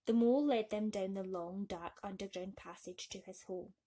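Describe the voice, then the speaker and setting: Husky woman reading a bedtime story. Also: medium pitch, moderate pace, dark tone. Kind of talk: expressive bedtime story narration for children.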